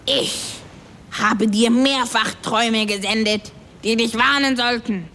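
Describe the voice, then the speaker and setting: A grumpy little ship's goblin from a seafaring audio tale, played by a woman. The voice sits very high with a sharp, forceful edge. He scolds and explains with exasperated emphasis, like the only one who knows what is going on. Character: grumpy, furious little male ship's kobold, scolding know-it-all. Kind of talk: acted German audio story.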